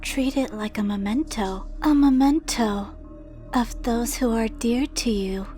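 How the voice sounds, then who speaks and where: A woman playing the ghost of a dead girl in a game dub, cryptic and coldly taunting, speaking in a measured, ominous, breathy whisper. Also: on the high side, dark tone, close to an everyday voice. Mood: solemn. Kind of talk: dramatic video game dub acting. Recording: background music under the voice.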